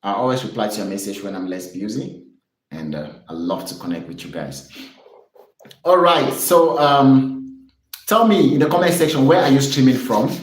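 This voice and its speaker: A man's voice: medium pitch, normal speed, reverberant, poor audio. A young man from Cameroon streaming live, chatting with viewers and explaining charts in an upbeat, repetitive way. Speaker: trading streamer.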